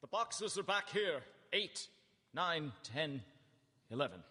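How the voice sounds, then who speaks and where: An actor playing an earnest, watchful gentleman on a vampire hunt in a live radio drama. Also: fairly high pitch, big pitch swings, slow pace, husky, full character voice. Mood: tense. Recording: echoey hall, distant, long reverb.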